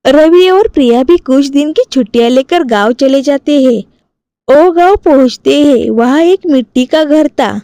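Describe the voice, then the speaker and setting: High-voiced woman narrating a story. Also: moderate pace, mellow, no room sound. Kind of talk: scripted cartoon story narration.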